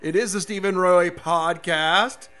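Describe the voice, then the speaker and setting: A middle-aged American man hosting a casual solo radio show, rambling and folksy, with a slightly hoarse voice. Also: high voice, fairly even intonation, unhurried, bright, forceful tone, soft background music. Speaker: radio and podcast host.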